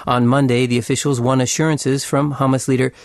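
A man's voice: mid-range voice, flat delivery, a little fast, no room sound, clean studio sound. A man reading a scripted radio news bulletin as a news reader.

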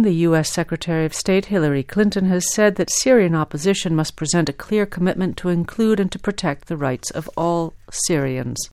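A woman's voice: fairly low pitch, darkish tone, clean tone, dry recording, clean studio sound. A woman presenting international radio news in a scripted reading style.

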